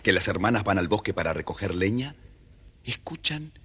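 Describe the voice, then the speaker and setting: Low, bright-toned male storybook narrator. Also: very animated, moderate pace, slightly rough. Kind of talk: scripted children's story narration. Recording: faintly noisy old storybook record.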